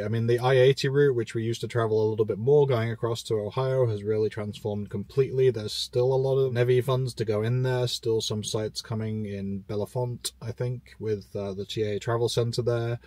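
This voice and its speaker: A man's voice: lowish voice, a little mellow, no room sound. A man in his thirties, host of an EV channel, talking casually and informatively from a parked car, with many fillers. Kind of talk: casual road-trip commentary.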